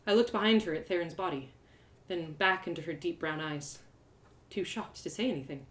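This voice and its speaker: A woman storyteller narrating a fantasy tale in the first person, with an expressive, theatrical delivery. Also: fairly low pitch, moderate pace. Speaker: storyteller, live reader of a fantasy novel.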